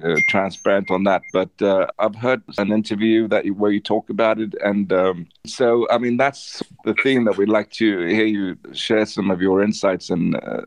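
A man's voice: on the low side, dry recording. Rambling male podcast host, dry sound. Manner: rambling, hesitant, lots of fillers. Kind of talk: casual conversation.

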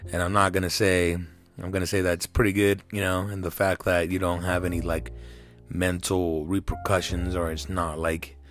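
A man's voice: sits low, level tone, moderate pace, fairly bright tone, soft background music. A man in his thirties with a Mexican American accent, hosting a casual solo talk show, rambling with many fillers and restarts. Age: 30s. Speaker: podcast host.

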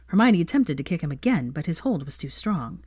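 A woman's voice: low voice, big pitch swings, a little fast, a little mellow, slightly rough, no echo, studio quality. A woman reading scripted fiction aloud as a story narrator.

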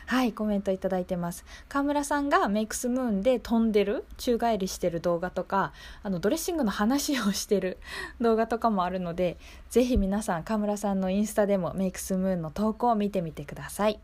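A woman's voice: mid-range voice, brisk, darkish tone. A woman hosting a local radio show in a casual, chatty, conversational way.

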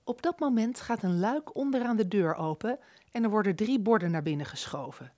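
A woman's voice: medium pitch, animated, a little fast, dark tone, no room sound, clean studio sound. A woman reading scripted narration for an audio drama.

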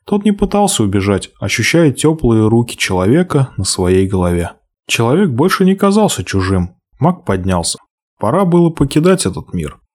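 A man reading a fairy tale aloud, expressive, slowing and hushing for the sad passages. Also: mid-range voice. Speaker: narrator. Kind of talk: storybook reading.

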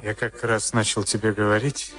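An actor playing a brooding boxer who survived a concentration camp, speaking hesitantly and confidingly in a Soviet radio play. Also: male voice, on the low side, level tone, normal speed, lightly acted. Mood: troubled, confiding. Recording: archive radio play, loud music behind. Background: loud music.

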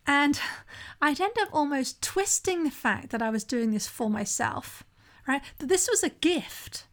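A woman's voice: high voice, animated, a little slow. A middle-aged woman hosting a solo podcast, talking conversationally and candidly about her own experiences, often checking in with the listener. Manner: conversational, frequently asks "right?" to the listener.

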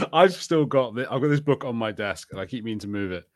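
A man co-hosting a podcast in casual watch-party chat, talkative and quick to laugh. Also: medium pitch, lively intonation, dry recording, studio quality. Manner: chatty, laughs often. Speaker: podcast co-host.

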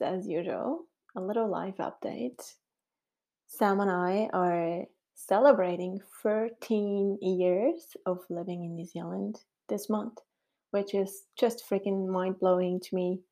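A woman's voice: on the low side, dark tone. A middle-aged woman from Switzerland with a Swiss German accent, hosting a casual solo podcast in a chatty, upbeat way with frequent fillers. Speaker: podcast host.

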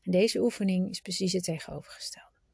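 A woman leading a guided meditation in a soft, soothing, instructive manner, at times trailing into a breathy whisper. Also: fairly low pitch, no room sound, clean studio sound. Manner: informal, instructive, with unhurried pauses.

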